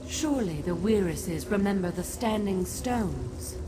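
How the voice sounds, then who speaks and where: A woman voicing an ancient elemental guardian spirit, stately, solemn and measured, in a fantasy video game. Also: medium pitch, animated, noisy background, natural voice. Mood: solemn, imperious.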